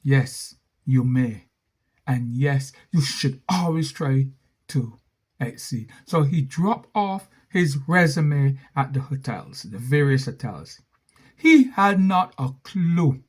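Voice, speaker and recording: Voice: mid-range voice, expressive, takes it slowly, husky. A storyteller, telling a cautionary tale with emphatic repetition and asides to the listener. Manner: emphatic, repeats key phrases, asides to listener.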